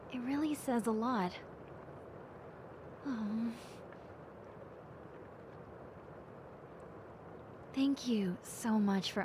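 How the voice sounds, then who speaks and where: A woman voicing a gentle, cheerful young bat girl in an intimate audio roleplay, speaking earnestly and reflectively to the listener. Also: on the high side, a little hiss or hum. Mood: grateful, warm. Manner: soft-hearted, earnest, reflective, unhurried.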